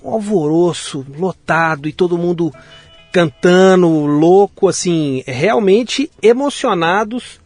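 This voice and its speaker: A man in his forties with a Minas Gerais accent, a guest in an interview, talking in a relaxed way with frequent restarts. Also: high-pitched, slow, no room sound, faint music behind. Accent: Minas Gerais Brazilian Portuguese. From Minas Gerais, Brazil. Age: 40s. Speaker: guest, audio producer. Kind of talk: conversational interview.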